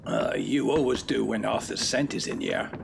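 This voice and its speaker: An actor playing a gruff, curt, secretive household schemer in a Victorian audio drama. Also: male voice, mid-range voice, moderate pace, noisy background. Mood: sly. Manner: curt, dismissive, menacing. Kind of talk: audio drama acting of Victorian period fiction.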